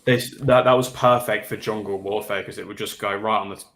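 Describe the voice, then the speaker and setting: A man's voice: lowish voice, steady tone, quick pace, a bit bright. A man on a livestream panel giving his opinions in a casual hobby discussion, with tentative asides.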